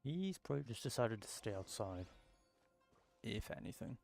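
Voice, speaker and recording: A man giving casual let's-play commentary, rambling as he goes. His voice is low and gravelly, with faint music playing behind it. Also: big pitch swings, moderate pace. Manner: rambling, thinking aloud. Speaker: gaming streamer.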